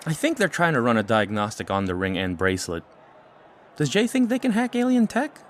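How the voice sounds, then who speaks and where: A man playing an incredulous, questioning teenage high school boy in an audio drama. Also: wildly swooping pitch, brisk, slight background noise. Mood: puzzled.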